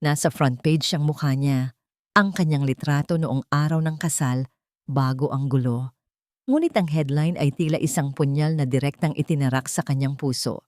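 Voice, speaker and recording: A woman narrates a dramatic story from a script. Her voice is a little lower than most women's. The sound is dry, with very little room in it. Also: normal speed, a little mellow, no echo.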